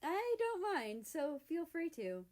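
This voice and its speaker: A woman's voice: very high-pitched, very animated, slow pace, darkish tone, voice pushed up, exaggerated voice. Woman reading as a playful, teasing young man.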